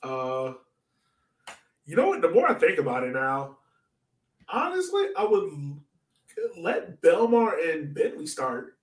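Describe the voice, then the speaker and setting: A man's voice: on the high side, wildly swooping pitch, measured pace, long pauses. A man co-hosting a sports podcast, chatting casually about soccer in an informal, joking way. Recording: roomy echo, distant from mic.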